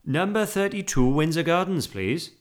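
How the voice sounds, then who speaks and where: A man's voice: fairly high pitch, lively intonation, normal speed, voice pushed up, natural voice. A man voicing a mild, obliging, slightly flustered father in a children's storybook, politely emphatic. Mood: hurried.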